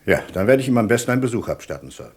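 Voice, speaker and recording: An actor playing a courteous but persistent, suspicious British police inspector in a radio play, speaking formally and with polite insistence. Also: male voice, lowish voice, very animated, racing, husky, exaggerated voice. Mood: politely insistent. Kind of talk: German radio play dialogue.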